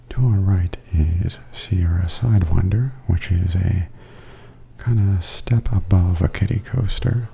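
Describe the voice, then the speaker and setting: A man giving soft-spoken, hushed and often whispery ASMR commentary as a video host. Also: deep voice, gravelly, a little hiss or hum. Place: voice-over added after filming.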